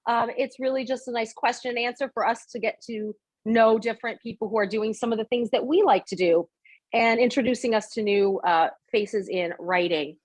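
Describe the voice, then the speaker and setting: A middle-aged American woman with a fairly high voice hosts a casual interview. She chats informally as she puts questions to her guest. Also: brisk. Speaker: interview host.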